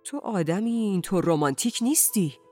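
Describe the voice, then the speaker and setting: A woman's voice: lowish voice, darkish tone, soft background music, natural voice. Woman voicing an agitated, reproachful betrayed husband. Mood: agitated, scornful. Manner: insistent, accusing, pleading.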